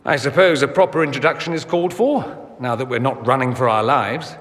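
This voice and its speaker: An actor playing a witty aristocratic mage in a fantasy game, theatrical, sardonic and very sure of himself. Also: male voice, mid-range voice, brisk, a bit bright, natural voice. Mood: suave, self-assured. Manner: theatrical, sardonic, self-assured. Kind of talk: video game dramatic acting. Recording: long hall reverb.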